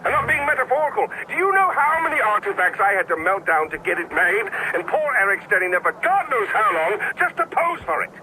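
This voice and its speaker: An actor playing a smug, flamboyant male tyrant in a video game, sardonic and mock-courteous with deliberate pauses. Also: high voice, very animated, sharp tone, a little hiss or hum, heavily acted, low-quality recording. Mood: mocking, indignant. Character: smug flamboyant male tyrant, eccentric, polite yet menacing. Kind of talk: video game cutscene acting. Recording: dramatic music behind the voice.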